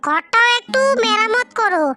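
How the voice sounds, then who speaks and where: A woman voicing a kindly magical snake-woman cursed into a bird in a cartoon fairy tale, with a gentle, earnest, reassuring manner. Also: very high-pitched, animated, moderate pace. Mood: kind, advising. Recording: music behind the voice.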